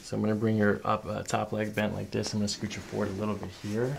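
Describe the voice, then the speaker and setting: Gravelly low-voiced male chiropractor. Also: level tone, fast talker, heavy background noise. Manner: instructional, checking in with short questions. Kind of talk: hands-on exam commentary to a patient. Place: small carpeted clinic treatment room. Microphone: microphone on a boom arm near the table.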